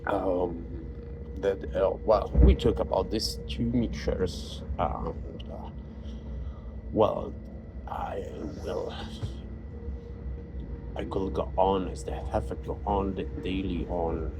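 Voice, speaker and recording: A man with an Italian accent, a native Italian speaker, talking in a halting personal monologue full of fillers and restarts. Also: low voice, fairly even intonation. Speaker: vlogger. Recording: noisy background.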